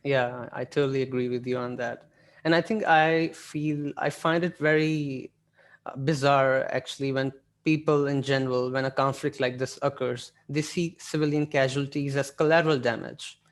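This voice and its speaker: A man from Pakistan whose first language is Urdu, interviewing a guest over a video call, agreeable and soft-spoken with frequent "like"s. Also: mid-range voice, steady tone, normal speed. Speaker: blogger and interviewer.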